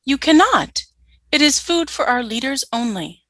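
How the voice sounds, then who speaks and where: An actress playing a flat, obedient female alien soldier who answers in short, literal replies in a radio play. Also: high voice, a little slow, dry recording, clean studio sound, heavily acted.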